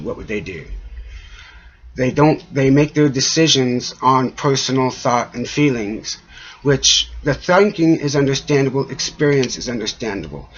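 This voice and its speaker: A man with a husky, unclear voice talks in a rambling, aggrieved monologue. He speaks haltingly, pausing often as he works through his complaints. The recording is dull and lo-fi. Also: mid-range voice. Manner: halting, frequent trailing pauses, aggrieved. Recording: dull lo-fi audio.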